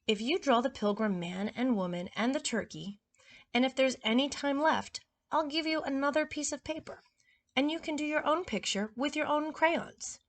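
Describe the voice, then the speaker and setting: A woman voicing a patient, kindly art teacher from a storybook, explaining things in a measured way. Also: high-pitched, pitched above the performer's own voice. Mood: patient, reasoning.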